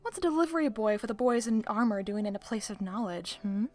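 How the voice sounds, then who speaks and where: A woman's voice: medium pitch, expressive, quick pace, faint music behind, lightly acted. A woman playing a sly, self-assured librarian with arch, playful, theatrical phrasing in an audio roleplay. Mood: teasing.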